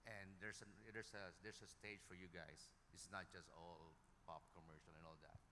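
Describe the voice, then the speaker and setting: A man's voice: low-pitched, steady tone, normal speed, pushed and bright. A man on an industry panel giving his opinions casually and emphatically, repeating himself. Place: hall at a music conference panel. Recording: noisy echoey hall, long reverb.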